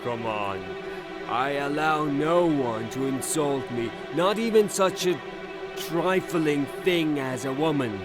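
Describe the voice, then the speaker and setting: An actor playing a hot-tempered, blustering rustic gentleman in a theatrical audio drama, declaiming his lines. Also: a little high, expressive, takes it slowly, music in the background. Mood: indignant, challenging.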